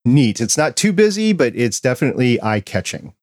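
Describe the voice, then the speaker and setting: A man's voice: medium pitch, big pitch swings, brisk, a little mellow, dry recording. Male podcast host, dry close sound. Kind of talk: casual interview chat.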